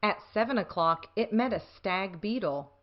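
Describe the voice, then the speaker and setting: A woman's voice: medium pitch, moderate pace, lo-fi sound. A woman narrating a children's picture book aloud as a scripted story reading.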